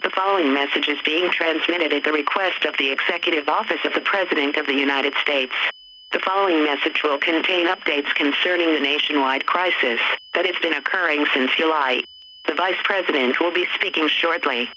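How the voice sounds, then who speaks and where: A man's voice: fairly high pitch, a little fast, close to an everyday voice. A man playing an official government announcer, reading a scripted emergency message in a formal, impersonal way. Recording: noisy, humming radio-like broadcast.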